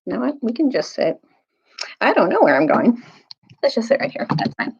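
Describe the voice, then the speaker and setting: Woman, storytime reader, husky voice, casual halting delivery, slightly dull lo-fi recording. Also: a little high, big pitch swings. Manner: halting, informal asides. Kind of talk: reading a children's novel aloud, casual recap.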